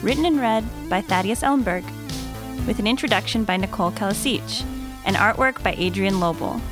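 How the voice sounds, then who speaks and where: A woman's voice: mid-range voice, fairly quick. A woman reading scripted narration as the announcer of a show. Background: music.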